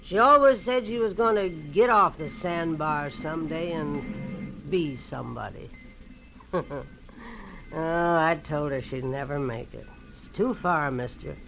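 An actress playing a sly, mercenary old rooming-house manager with folksy, slangy speech in 1940s radio style. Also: female voice, low-pitched, measured pace, over music, poor audio. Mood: wistful, knowing. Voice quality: slurred through missing front teeth. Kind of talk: 1940s radio character acting.

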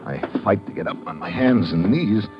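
Man as a deep-voiced hard-boiled American agent. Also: expressive, moderate pace, highs cut off, lightly acted. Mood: tense narration. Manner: hard-boiled, terse, slangy. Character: tough, wry American male agent on the run. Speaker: lead actor and narrator. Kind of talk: old radio crime drama narration and acting. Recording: band-limited old radio recording, dramatic music.

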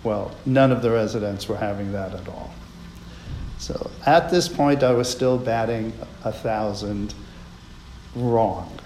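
A man's voice: fairly low pitch, slow pace, a little mellow, reverberant, slight background noise. A man earnestly telling a reflective personal story on a radio show.

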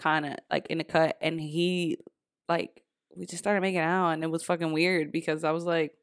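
A woman's voice: low voice, level tone, moderate pace. Blunt, salty young woman co-host. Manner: blunt and profane, with lots of fillers and quoted reactions. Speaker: podcast co-host. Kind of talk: candid casual chat.

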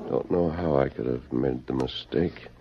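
A man acting a shrewd, calm masked cowboy hero in an old-time radio western, with a measured, authoritative delivery. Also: a bass voice, breathy edge, heavily acted. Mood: calm, probing. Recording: muffled, noisy vintage radio recording.

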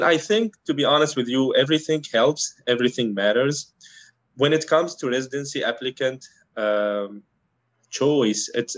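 A man from Syria, a native Arabic speaker, answering interview questions in a measured, thoughtful way with frequent pauses and restarts. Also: medium pitch, no room sound, highs cut off. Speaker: guest, internal medicine resident.

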